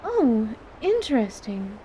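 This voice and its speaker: A woman playing a composed, scholarly magic teacher, patient and reserved, with formal, measured wording in a fantasy audio drama. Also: fairly high pitch, very animated, warm tone, a little hiss or hum, low-quality recording. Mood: composed, gentle.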